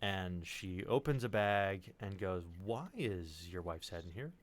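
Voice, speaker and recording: A man's voice: deep voice, lively intonation, unhurried. A man chatting casually and telling personal stories in a rambling way, often correcting himself. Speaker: podcast cast member. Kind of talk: casual chat, personal storytelling.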